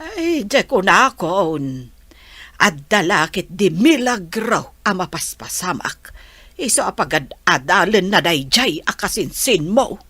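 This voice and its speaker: A woman's voice: fairly low pitch, very animated, slow, a bit bright, breathy edge, no room sound, heavily acted. A woman with an Ilocano accent playing an inquisitive, simple village mother in a radio comedy drama.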